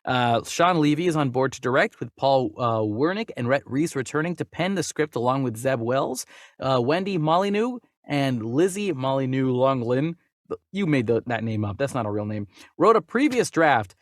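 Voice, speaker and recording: Male podcast host, jokey casual chat, very lively intonation, dry close sound. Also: mid-range voice, moderate pace, no room sound. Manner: jokey, animated, stumbles over names when reading. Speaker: podcast host reading and discussing movie news. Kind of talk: casual chat with read-out news items.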